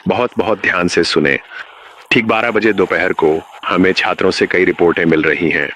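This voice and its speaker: A man playing a grave, menacing emergency announcer in a scripted horror broadcast, speaking in a measured way. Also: deep voice, fairly even intonation, fairly bright tone, slight background noise. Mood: grave, ominous. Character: ominous male emergency broadcast announcer warning of uncanny teachers. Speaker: narrator.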